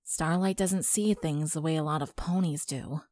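A woman's voice: low voice, moderate pace, darkish tone, dry recording, studio quality, close to an everyday voice. A woman playing a supportive, wry teenage girl in a fan fiction audio drama. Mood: understanding.

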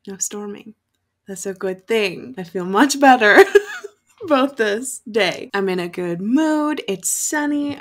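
A young woman giving casual, sweary reaction commentary in a room at home. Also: a little high. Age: young adult. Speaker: reaction video host. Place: small furnished room at home, indoors.

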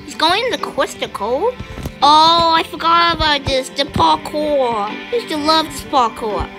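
Woman gamer, high lively voice, easygoing pace, clear game music behind. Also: measured pace, poor audio. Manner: excitable, chatty, with sudden high squeals. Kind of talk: casual gameplay commentary.

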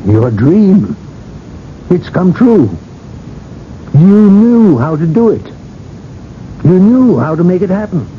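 An actor in a radio drama playing an earnest friend who consoles gently and with emphasis. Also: male voice, high voice, very animated, takes it slowly, long pauses, exaggerated voice. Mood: earnest assurance. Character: earnest, consoling male friend of dying tycoon. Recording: dull, slightly noisy old radio broadcast.

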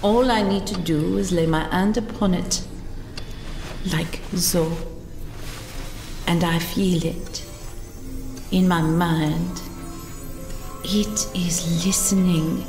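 A proud, secretive French lady of the house, voiced by a woman in an audio drama. She talks slowly and coldly with a stiff, formal French accent. Music plays behind her in a roomy, echoing space. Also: on the low side, steady tone, gravelly. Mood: intent. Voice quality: stiff, formal, slow and cold. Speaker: Madame Alaire. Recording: echoey room with music behind.

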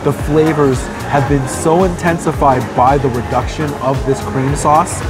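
A man in his thirties, a food vlogger, talking to the camera with eager, savoring praise while eating in a busy restaurant. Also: a little high. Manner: enthusiastic, savoring each bite with upbeat praise. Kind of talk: casual food review to camera. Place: busy restaurant dining room, indoors. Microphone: phone or camera held at arm's length. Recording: background music playing in restaurant.

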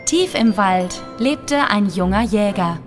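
A woman's voice: mid-range voice, expressive, slow, faint music behind. A woman narrating a scripted children's fairy tale as a storyteller.

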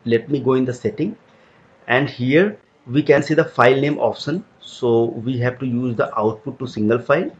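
A man giving a step-by-step screen-recorded software tutorial, explaining each click as he goes. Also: medium pitch, highs cut off. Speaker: tutorial instructor.

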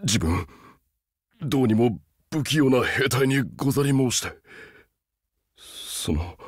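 A man's voice: mid-range voice, expressive, takes it slowly, husky, no echo, studio quality. A man voicing a huge, loyal, humble beast-man soldier in a game, haunted and earnest, speaking with stiff archaic military formality. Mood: bashful, earnest. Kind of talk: Japanese game character voice acting.

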